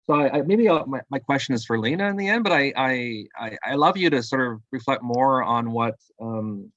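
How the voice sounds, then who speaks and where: A man's voice: mid-range voice, lively intonation, a little fast, fairly bright tone, no echo, lo-fi sound. A man asking the panel a question in a hesitant way, repeating words as he goes. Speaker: audience questioner.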